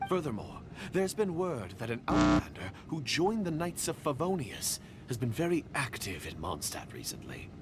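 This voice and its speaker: An actor playing a secretive, scheming foreign agent in a video game, speaking in a guarded, half-whispered way. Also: male voice, medium pitch, huge pitch swings, moderate pace, hardly any pauses, background noise. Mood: suspicious.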